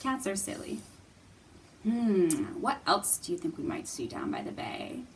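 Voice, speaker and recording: A woman's voice: medium pitch, huge pitch swings, measured pace. A woman presenting a children's storytime with singalong songs, encouraging and playful, explaining things simply for young children. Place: room in a public library. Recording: distant mic, background room noise.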